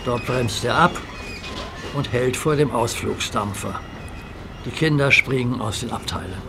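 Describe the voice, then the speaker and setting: A male narrator reads a children's audio story in a calm, unhurried storybook manner. His voice sits in a middle range, and a clear hiss runs under the recording. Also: medium pitch. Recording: hissy audio play recording.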